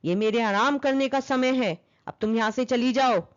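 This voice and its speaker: A woman's voice: on the high side, normal speed, lo-fi sound, lightly acted. A woman voicing a tired, irritated male lion in a children's story, curt and wanting to be left to rest.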